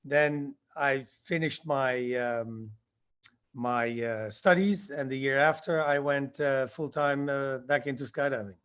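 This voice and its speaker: A man in his sixties, born in Indonesia, answering interview questions about his past and recalling it haltingly with many fillers. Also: mid-range voice, a bit bright, clear, resonant tone. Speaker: guest, skydiving instructor.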